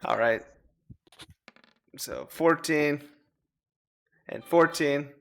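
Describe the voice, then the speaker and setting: A man's voice: fairly high pitch, extremely slow, vocal fry, fairly bright tone. A man talking casually as a player in a tabletop roleplaying game.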